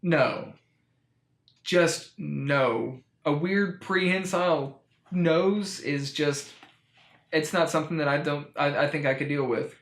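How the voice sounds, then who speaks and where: A man's voice: fairly high pitch, slow, a bit bright. A man hosting a comedic storytime show, giving a rambling, wry, mock-serious monologue. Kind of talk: comic monologue reviewing a children's book. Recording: roomy echo, music underneath.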